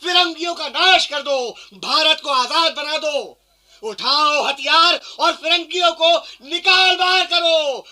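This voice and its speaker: An actor playing an indignant, patriotic Indian sepoy in a radio play, declaiming with passion. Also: male voice, very high voice, slow pace, sharp tone, exaggerated voice. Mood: rousing, furious. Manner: impassioned, declamatory.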